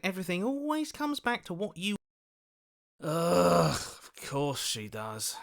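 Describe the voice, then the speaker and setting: An actor playing a cynical, distrustful inner voice in a video game, bitter and sarcastic. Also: male voice, sits high, a bit bright, heavily acted. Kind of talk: scripted game voice acting.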